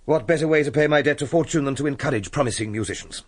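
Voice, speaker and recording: An actor playing a wealthy, shrewd English patron of music in a radio drama, genteel and authoritative with a brisk, probing manner. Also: male voice, mid-range voice, slightly rough, close to an everyday voice. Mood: magnanimous.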